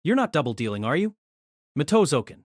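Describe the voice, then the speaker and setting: A man's voice: fairly high pitch, big pitch swings, brisk, no room sound, clean studio sound. A man voicing a bitter, defiant younger man in an audiobook drama, confrontational and intense with his villainous father. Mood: bitter, determined.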